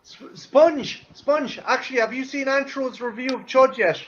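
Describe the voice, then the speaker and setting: A man with an Irish accent chatting casually on a livestream, rambling and swearing, with halting restarts. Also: very high voice, fairly bright tone, dull audio.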